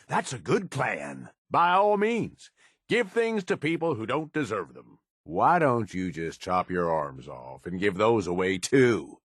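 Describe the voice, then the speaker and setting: Cynical raider henchman, low rough voice, slangy drawl, sardonic, video game acting, close dry sound. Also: a bit bright, no echo, exaggerated voice. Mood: disapproving, sarcastic. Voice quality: husky, rough. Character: gruff cynical ruthless male raider henchman in a post-apocalyptic wasteland.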